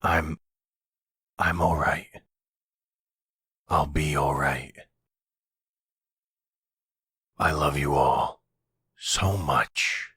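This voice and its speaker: A man acts out a long-lost mob husband, loving and full of remorse. The voice is extremely low and rough, with a slow, halting, pleading delivery in an intimate roleplay drama. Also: steady tone, pushed and bright, no room sound, heavily acted. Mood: pleading, protective. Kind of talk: ASMR audio drama roleplay.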